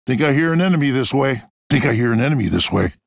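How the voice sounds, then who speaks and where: A big, confident game hero with a gravelly, mid-pitched voice. He sounds easygoing and good-humored, recorded dry and close. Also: expressive, fast, dry recording. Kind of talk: video game voice lines.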